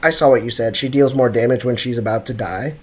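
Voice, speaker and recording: A young man streaming a video game, giving excitable, joking commentary and answering his chat. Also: mid-range voice, fast talker, a little hiss or hum. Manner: excitable, joking, trash-talking. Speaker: gaming streamer. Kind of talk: live game commentary, chatting with viewers.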